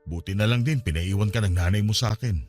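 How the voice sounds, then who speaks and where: A man's voice: on the low side, fast talker, a little mellow, soft background music, natural voice. A man acting an older father, a drinker, in an emotional radio drama style. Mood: remorseful pleading. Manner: pleading, emotional. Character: remorseful older Filipino father, a drinker pleading with his child.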